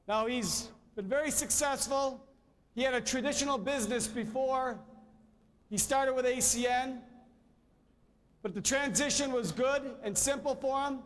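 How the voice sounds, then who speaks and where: Emcee man, very high voice, long hall reverb, upbeat. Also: a bit bright, a little hiss or hum. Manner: upbeat, announcing. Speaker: event emcee. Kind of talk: stage introduction. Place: large event hall.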